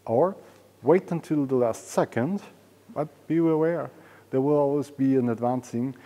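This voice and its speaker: Male presenter, forties, warm mellow tone, enthusiastic informal explainer, recorded in an echoing hall. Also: mid-range voice, unhurried. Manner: enthusiastic, informal, non-native English phrasing. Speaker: auction house presenter. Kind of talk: semi-scripted promotional explainer to camera. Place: large indoor auction hall and storage room. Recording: reverberant large hall.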